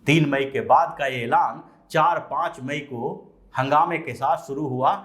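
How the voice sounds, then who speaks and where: A man presenting news commentary, explaining the story in a deliberate way with drawn-out phrases and pauses. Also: a little high.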